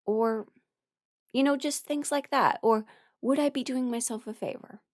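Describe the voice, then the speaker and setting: A young adult woman talking frankly and haltingly in a candid personal ramble, often quoting her own thoughts as she goes. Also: on the high side, a little mellow, no room sound, clean studio sound. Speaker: voice performer sharing a personal ramble.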